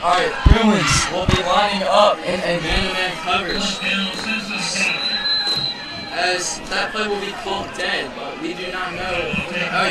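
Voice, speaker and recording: A man with a raspy voice calling play-by-play for a live game, often stumbling and restarting. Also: high-pitched, very noisy. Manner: halting, stumbles and restarts on names. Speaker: play-by-play announcer. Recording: echoey, distant mic, loud music.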